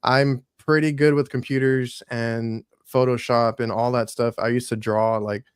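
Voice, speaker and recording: A man's voice: medium pitch, little pitch movement, unhurried, smooth, dry recording, clean studio sound. A man in his mid-thirties hosting a podcast, easygoing and casual, often repeating words as he thinks. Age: 30s. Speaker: podcast host.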